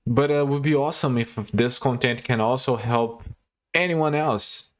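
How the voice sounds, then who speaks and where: A man's voice: mid-range voice, normal speed. A young man from Brazil with a Brazilian Portuguese accent, casually walking through a coding tutorial with frequent fillers and restarts. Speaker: tutorial host.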